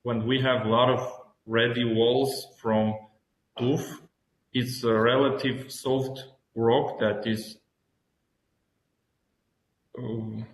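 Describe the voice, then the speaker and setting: Slow-speaking Armenian-accented man in an echoey room. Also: medium pitch, level tone. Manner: halting, searching for words. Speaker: student presenting project, schoolteacher. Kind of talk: informal project presentation on a video call. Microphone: video call. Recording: echoey room on a video call.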